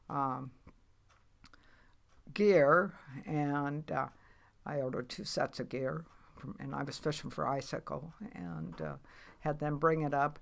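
A woman's voice: low voice, gravelly. A woman in her eighties, a veteran commercial fisher, telling her story in a rambling, matter-of-fact way with many restarts. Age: 80s. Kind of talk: casual storytelling interview.

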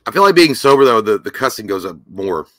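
A man in his thirties, loud and profane, joking casually as co-host of a livestream. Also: lowish voice, big pitch swings, fast talker. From Kentucky. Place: home room with shelves. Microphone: desk mic on video call.

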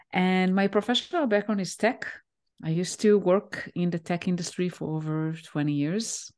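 A woman in her forties from Israel, a native Hebrew speaker, answering interview questions on a video call with a headset, pausing often with fillers. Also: on the low side, a little mellow. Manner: thoughtful, pauses with many "uh" fillers. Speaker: guest, leadership coach. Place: home room, indoors.

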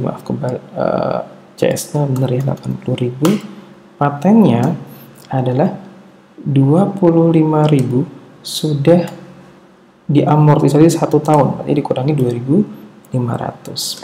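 A man with a very dark, muffled voice and a gravelly creak walks through a worked exercise like an instructor. He speaks haltingly and deliberately, thinking aloud. The room adds noticeable echo. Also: medium pitch. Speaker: accounting instructor. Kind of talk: step-by-step spreadsheet tutorial narration. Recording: roomy echo, dull muffled tone, faint noise.

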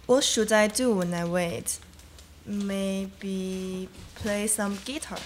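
Easygoing female camper, twenties, student radio drama, played by a young woman; unhurried, hesitant delivery, faint studio noise. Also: mid-range voice, steady tone, lightly acted. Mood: musing. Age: young adult. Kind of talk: live student radio play. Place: small studio, indoors. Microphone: stand microphone.

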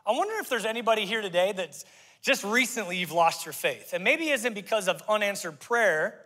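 A man in his forties, a pastor preaching an energetic, joking sermon into a handheld mic on a large church stage. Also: high-pitched, fairly quick. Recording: live sermon in a large hall with faint music.

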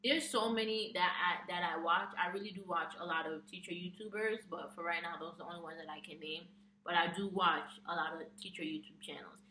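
A woman's voice: on the low side, steady tone, brisk, bright, forceful tone. Chatty young woman vlogging in an echoey room. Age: young adult. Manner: chatty, reads questions off her phone, many ums. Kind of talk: casual tag video chat. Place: small room with a plain wall, indoors. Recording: echoey room, distant mic, faint hum.

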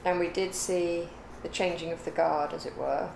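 Gravelly older woman in an echoey room. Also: fairly low pitch, fairly even intonation, normal speed. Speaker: guest, the host's mother. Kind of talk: casual chat on a craft livestream. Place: living room or home craft room. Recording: echoey room, clear background noise.

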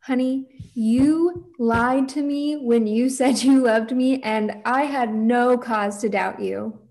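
A woman's voice: a little high, steady tone, slow, a little mellow. A young woman playing an earnest, defiant girl who dreams of being a cowboy, in a script table read. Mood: wistful, theatrical. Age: young adult. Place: room at home, on video call.

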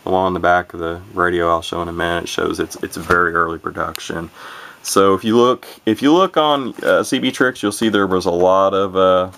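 Hobbyist vlogger, man, low gravelly voice with a bright edge, runs his words together. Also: a little fast, slight background noise. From Illinois, USA. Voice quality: low gravelly voice, talks monotone. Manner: rambling, many fillers and restarts, runs words together. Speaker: YouTuber showing vintage radios. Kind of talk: casual unscripted talk to camera.

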